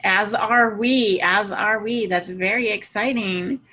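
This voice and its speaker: A woman's voice: medium pitch, fairly even intonation, takes it slowly, sharp tone. A woman hosting a podcast in a chatty way, with playful, teasing banter. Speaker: podcast host and moderator.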